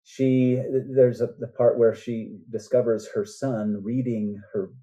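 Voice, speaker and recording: A man's voice: medium pitch, fairly even intonation, unhurried, mellow. A man speaking as a guest author in a casual interview, earnest and reflective as he explains his thoughts.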